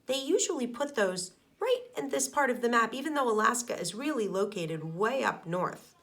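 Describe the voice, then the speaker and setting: A middle-aged woman, a schoolteacher, reading a picture book aloud to young children and explaining it in simple, deliberate phrases with questions to the listeners. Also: fairly high pitch, lively intonation, fairly bright tone. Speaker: elementary school teacher.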